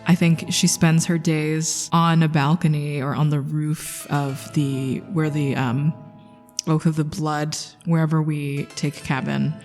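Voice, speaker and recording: A woman improvising dramatic narration for her tabletop character, intense and halting, dwelling on single words. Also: low-pitched, level tone, a little mellow. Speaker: player in a tabletop game podcast. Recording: long reverb under music.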